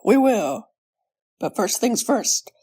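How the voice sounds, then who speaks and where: Woman voicing a confident, slow-spoken cartoon sea pony. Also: a little high, sing-song, dark tone, breathy edge, exaggerated voice. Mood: resolute. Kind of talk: fan comic dub cartoon acting.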